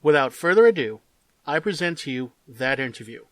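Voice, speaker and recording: A man's voice: on the high side, animated, fairly quick, a bit bright, no room sound, rough recording. A man hosting a gaming channel, opening with an upbeat greeting and interviewing a guest with eager reactions.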